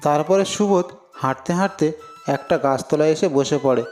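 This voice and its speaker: A man's voice: a little high, fairly quick, over loud music. A man narrating a scripted cartoon story as a storyteller.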